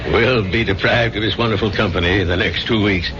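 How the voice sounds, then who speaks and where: An actor playing a plain-spoken, folksy, concerned friend in a 1970s radio drama. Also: male voice, on the low side, steady tone, a little fast, crowd noise, natural voice. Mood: wry. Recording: dull lo-fi radio recording with music.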